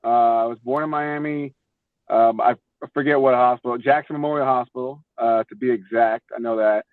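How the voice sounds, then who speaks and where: A man's voice: medium pitch, fairly even intonation, normal speed, no echo. A young man from Florida chatting casually as a podcast guest, telling stories informally and often repeating words. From Florida, United States. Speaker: podcast guest, former baseball player. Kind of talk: casual chat, storytelling. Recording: tinny, phone-like call audio.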